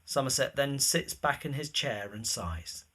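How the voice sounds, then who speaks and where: A man's voice: mid-range voice, lively intonation, a little slow, pushed and bright, husky. A man narrating the scenes of an audio drama from a script.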